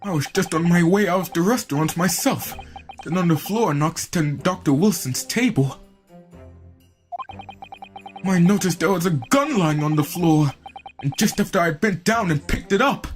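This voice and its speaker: A woman reading aloud as a nervous young male defense lawyer, excitable and flustered, over game music. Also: on the low side, moderate pace, voice pushed down, natural voice. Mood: recounting.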